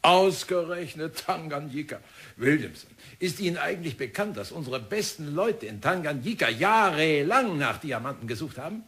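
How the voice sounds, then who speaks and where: An actor playing an impatient, scornful mining company boss in a German radio play, with a mocking, dismissive manner. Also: male voice, fairly high pitch, lively intonation, normal speed, a bit bright. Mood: amused scorn. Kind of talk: German radio drama acting.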